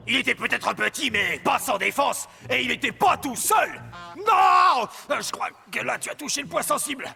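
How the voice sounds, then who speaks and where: Sharp, gravelly big bad wolf. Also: male voice, very high-pitched, expressive, moderate pace, faint music behind, full character voice. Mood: indignant. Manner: blustering, indignant. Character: grumpy hungry big bad wolf father. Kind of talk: French cartoon dub acting.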